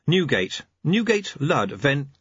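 A man's voice: on the high side, very animated, slow pace, a bit bright, husky, dry recording, dull audio, pitched above the performer's own voice, exaggerated voice. A man voicing a flustered young novice. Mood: earnest. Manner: flustered, eager. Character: anxious, hasty young male novice monk.

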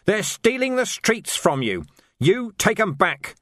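A man's voice: sits high, huge pitch swings, moderate pace, a bit bright, dry recording, studio quality, pitched above the performer's own voice, full character voice. A man voicing a sneering, menacing male villain who bursts into manic rage. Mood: ranting.

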